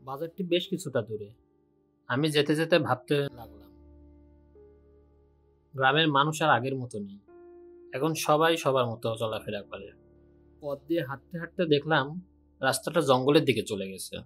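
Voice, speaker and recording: Brief: young male narrator, calm, even telling, soft music underneath. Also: medium pitch, moderate pace, long pauses. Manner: calm, steady first-person telling. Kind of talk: storytelling narration.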